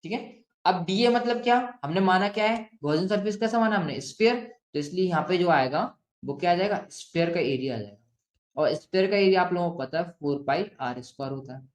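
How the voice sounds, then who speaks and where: A man with an Indian accent teaching step by step as a physics teacher, asking and answering his own questions and slowly dictating what to write. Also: high-pitched. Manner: asks and answers own questions, frequent check-ins; slowly dictates written sentences. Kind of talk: step-by-step classroom lesson mixing Hindi and English. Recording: echoey room.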